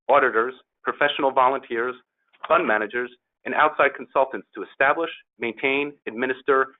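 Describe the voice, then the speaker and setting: A man formally reading a scripted introduction as host of an investor conference call, presenting the speakers. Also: mid-range voice. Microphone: phone or webinar call. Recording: narrow tinny phone line.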